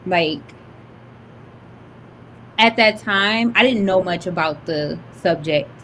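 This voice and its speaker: High-voiced young woman, laid-back. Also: slow pace, pushed and bright, a little hiss or hum, rough recording. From Detroit. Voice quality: high-pitched voice. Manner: laid-back, giggly, lots of "like" and "um". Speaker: author, podcast guest. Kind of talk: casual chat.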